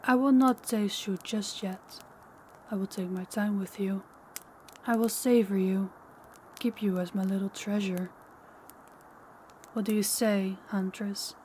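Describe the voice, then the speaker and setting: A menacing female vampire, acted by a woman for an intimate audio drama. She speaks in a medium-pitched voice, taunting, possessive and used to being obeyed, slipping toward whispers. There is noticeable noise behind her. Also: a little mellow, in a large hall, natural voice, rough recording. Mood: teasing, predatory. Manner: slow, taunting, commanding, dropping into whispers. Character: possessive, menacing older female vampire, toying with captive huntress. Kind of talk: intimate audio roleplay acting.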